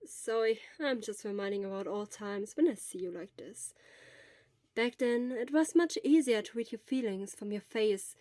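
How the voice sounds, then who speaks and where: A woman voicing a cheerful young male hero teacher who talks in a raised, bright, casual way. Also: a little high, normal speed, dry recording, close to an everyday voice. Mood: nostalgic.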